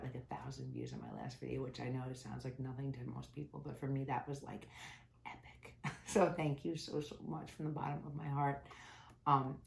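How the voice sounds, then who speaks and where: A woman's voice: very deep voice, creaky. A woman reviewing beauty subscription boxes in a casual, chatty way, sounding nasal from a cold. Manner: chatty, candid, grumbles about packaging. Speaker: beauty vlogger. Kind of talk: casual unboxing chat. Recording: echoey room with faint noise.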